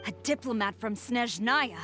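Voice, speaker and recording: A stern, proud female general, voiced by a woman in a video game, with a slightly high, firm voice. She speaks with open defiance over clear background music. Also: expressive, moderate pace, lightly acted. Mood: defiant.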